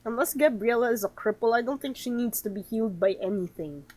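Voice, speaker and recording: Expressive woman streaming a game. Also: a little high, fast talker, slight background noise. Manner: expressive, sarcastic reactions. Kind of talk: playthrough commentary, reading game text aloud.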